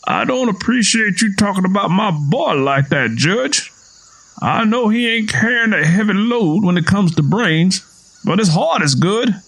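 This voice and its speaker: A man's voice: sits high, animated, measured pace, gravelly, a bit bright, voice pushed up, full character voice, rough recording. A man voicing a wary rural Southern father in an audio story, folksy and defensive as he talks. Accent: American South.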